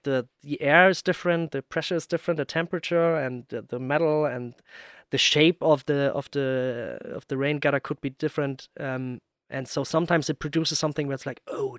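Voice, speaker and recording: A man's voice: on the high side, steady tone, fairly quick, fairly bright tone, no echo, studio quality. A man hosting a podcast, chatting casually and searching for his words as he tells stories. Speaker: podcast host. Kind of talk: casual interview chat.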